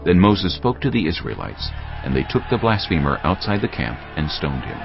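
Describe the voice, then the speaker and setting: Very deep, gravelly male scripture narrator. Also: sing-song, moderate pace. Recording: dull lo-fi audio, music underneath.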